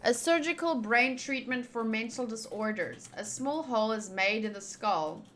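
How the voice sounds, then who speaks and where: A woman's voice: on the high side, animated, moderate pace, fairly bright tone, a little hiss or hum. A woman giving casual visual novel playthrough commentary, chatty and thinking aloud as she reads the text. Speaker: game streamer.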